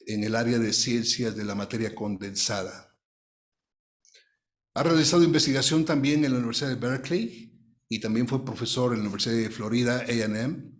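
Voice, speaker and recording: A man speaks as an interview host at a middling pitch. His delivery is formal and courteous. He phrases things in a measured, deliberate way.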